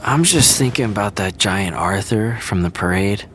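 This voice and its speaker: A man's voice: on the low side, normal speed, over music, close to an everyday voice. A man voicing an earnest, goofy young actor in an audio drama, in a playful, sing-song way.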